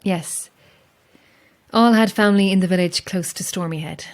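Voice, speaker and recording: An actress playing a guarded, sceptical lighthouse keeper in a horror audio drama, speaking in a measured, dry way. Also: female voice, mid-range voice, close to an everyday voice. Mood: guarded, sombre. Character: guarded, sceptical lone lighthouse keeper, woman, wryly amused.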